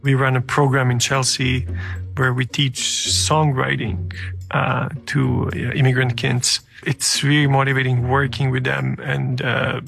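Man, Serbian accent, creaky mid-range voice, halting personal talk, faint music behind. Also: medium pitch, monotone, a bit bright. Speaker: storyteller, musician and teacher. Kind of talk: interview answers and personal story.

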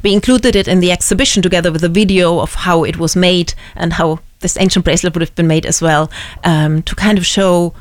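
German-accented woman with a low voice. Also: steady tone, brisk, no room sound. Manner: thoughtful, many ums and restarts. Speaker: museum curator. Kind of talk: interview.

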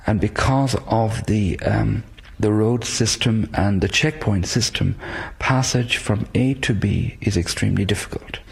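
Irish priest, raspy gravelly voice, lots of ums and uhs. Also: male voice, lowish voice, a little slow, hardly any pauses. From Ireland, west coast. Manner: hesitant, many fillers. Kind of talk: interview answers.

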